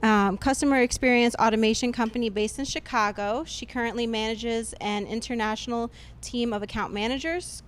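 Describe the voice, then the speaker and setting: A woman announcer reading out a scripted introduction of a guest speaker at an event. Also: on the high side. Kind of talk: scripted introduction read aloud.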